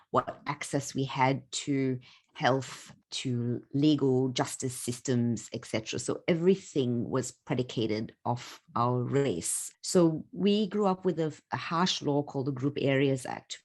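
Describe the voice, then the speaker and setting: A woman's voice: extremely low pitch, a little mellow. A woman from Africa, a guest telling her story in an interview, reflective and full of fillers. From South Africa. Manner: reflective, many fillers, laughs easily.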